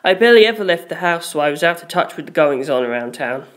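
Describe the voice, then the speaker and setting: A woman's voice: low-pitched, lively intonation, fast. A woman reading a scripted first-person story as an audiobook narrator.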